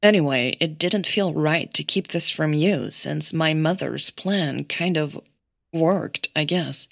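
A woman's voice: deep voice, slow, creaky, dry recording, full character voice. A woman playing an awkward, earnest, chatty woman in an audio drama, hesitant in how she talks. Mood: awkward, sheepish.

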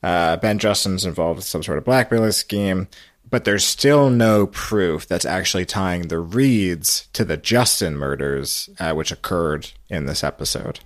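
A man giving a casual, halting, meandering storytelling recap on a podcast. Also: low voice, no room sound, clean studio sound. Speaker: podcast guest recapping a radio show.

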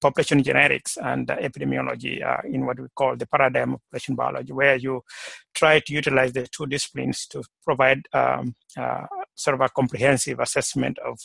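A middle-aged man from Kenya, guest on a podcast, works through his career story in a halting way, full of fillers and restarts. His voice is strongly gravelly and husky, with a flat, level pitch. The sound is dry and close. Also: dry recording. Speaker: guest, plant pathologist. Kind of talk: conversational interview.

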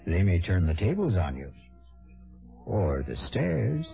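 A man narrates a radio suspense show as its host. His lowish voice is wry, dramatic and very expressive. Music plays clearly behind a dull vintage recording. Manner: wry, deliberate.

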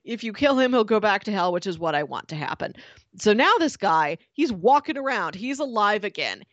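A woman chatting casually as a podcast co-host, telling stories in an animated, playful way. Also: medium pitch, a little fast, no echo, highs cut off. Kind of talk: casual chat.

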